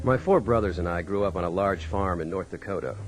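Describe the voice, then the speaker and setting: A man's voice: deep voice, fairly quick, over loud music, lightly acted, poor audio. An actor with an American accent playing a farm man who tells of his wayward youth in a radio drama. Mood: reflective. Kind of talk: radio drama first-person narration.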